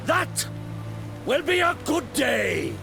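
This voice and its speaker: An actress playing a solemn clan elder delivering a funeral eulogy in a video game cutscene, declaiming in a ringing voice. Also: female voice, sits high, sing-song, takes it slowly, creaky, sharp tone, faint music behind, exaggerated voice. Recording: score underneath.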